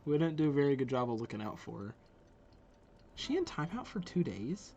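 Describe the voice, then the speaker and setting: An excitable video host giving gushing reaction commentary to game character trailers. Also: mid-range voice, fairly quick, muffled recording, slight background noise. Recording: game music behind the voice. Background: video game trailer music.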